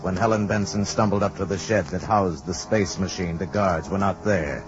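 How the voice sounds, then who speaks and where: An actor playing a grave, portentous storyteller, narrating a 1950s science fiction radio drama with ominous weight. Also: male voice, low-pitched, steady tone, normal speed, natural voice. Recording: dull vintage radio, loud music behind.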